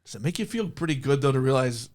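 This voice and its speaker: A man's voice: medium pitch, animated, fast talker, a little mellow. A man interviewing someone in a casual, encouraging and informal way, as a host.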